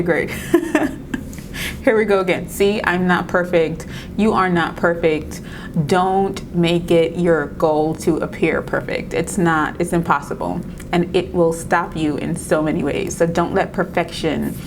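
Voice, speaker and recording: Trinidadian-accented woman vlogger, lowish voice, encouraging and laughing often, steady rumble underneath. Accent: Trinidadian mixed with New York. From Trinidad and Tobago. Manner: warm-hearted, encouraging, often laughing, restarts words. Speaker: video creator giving tips. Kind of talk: casual talk to camera.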